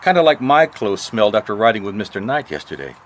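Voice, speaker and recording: Low-voiced, shrewd radio detective. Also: male voice, sing-song, quick pace. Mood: accusing, wry. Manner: probing, wry, seemingly casual questioning. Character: shrewd, dogged male private detective. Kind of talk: radio mystery drama acting. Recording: noisy radio drama recording.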